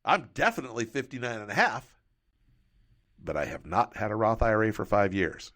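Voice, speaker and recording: A man's voice: on the low side, big pitch swings, brisk, fairly bright tone. A man working as a financial advisor, explaining retirement tax rules through first-person hypothetical examples.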